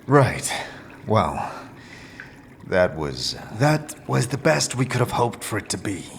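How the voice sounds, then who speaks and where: A man's voice: lowish voice, big pitch swings, slow, vocal fry, a little hiss or hum, full character voice. A man playing a chatty, jittery, wisecracking sidekick in a fantasy audio drama. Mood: relieved, subdued. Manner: hesitant, wisecracking, swooping.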